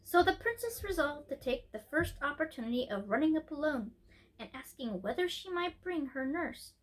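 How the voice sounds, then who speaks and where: High-voiced woman reading a storybook aloud. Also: expressive, moderate pace, poor audio. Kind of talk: scripted narration, storybook read-aloud.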